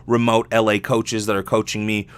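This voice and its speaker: A man's voice: fairly low pitch, flat delivery, moderate pace, clean tone, no room sound. A young adult man talking casually and candidly as a solo podcast host, rambling and swearing freely.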